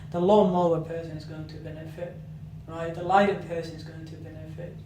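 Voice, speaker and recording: A man's voice: fairly high pitch, steady tone, brisk. A man from Somalia, a podcast guest, talks through ideas with examples and rhetorical questions. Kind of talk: casual interview talk. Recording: echoey room, mic at a distance, noisy.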